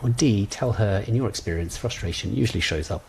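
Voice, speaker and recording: A man's voice: lowish voice, fairly quick, gravelly, darkish tone, a little hiss or hum, poor audio. A man working as a coach trainer, leading an informal online lesson in a conversational way, often hesitating as he thinks aloud. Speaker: coach trainer leading exam preparation session.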